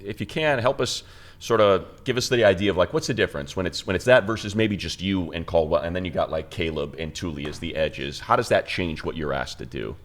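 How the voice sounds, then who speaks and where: Deep-voiced man hosting sports talk. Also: a little fast, a bit bright. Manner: informal, rambling enthusiastic questions. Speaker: sports show co-host, interviewer. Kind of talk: casual sports talk interview.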